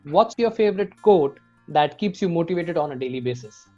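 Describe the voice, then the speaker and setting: A man's voice: on the high side, lively intonation, a little fast, dark tone. A man hosting an interview show, reading formal, scripted introductions and then asking his guest questions. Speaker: host, video creation coach. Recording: dull lo-fi audio with music bed.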